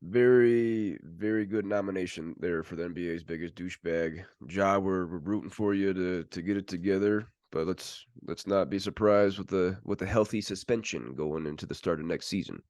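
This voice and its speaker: A man co-hosting a sports podcast, relaying news in casual, informal chat with a halting delivery. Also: low voice, darkish tone, no echo.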